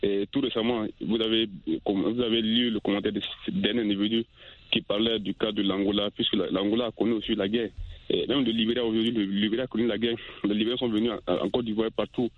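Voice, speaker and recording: Brief: male phone-in caller, lowish voice, halting and repetitive, tinny telephone sound, off-the-cuff opinion. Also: level tone, no room sound. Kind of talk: spontaneous opinion-giving. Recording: tinny dry phone line.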